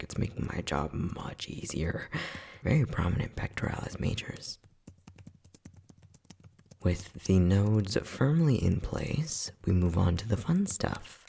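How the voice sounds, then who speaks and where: A man acting as an unhinged, playful and casually cruel scientist in an ASMR roleplay, flippant and sardonic, with a husky voice that often drops near a whisper. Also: deep voice, mellow, a little hiss or hum, full character voice. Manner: flippant, sardonic, mock-soothing, muttering.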